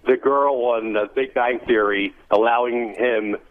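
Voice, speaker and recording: A man's voice: medium pitch, steady tone, a little slow. A man calling in to a radio show over the phone, complaining conversationally. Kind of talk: casual complaint call. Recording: tinny phone line.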